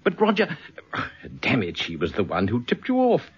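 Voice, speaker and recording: An actor playing a gruff, authoritative senior British police chief in a radio drama, with a husky voice. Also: male voice, mid-range voice, huge pitch swings, moderate pace, exaggerated voice. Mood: probing, surprised. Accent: British English. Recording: dull lo-fi radio drama recording.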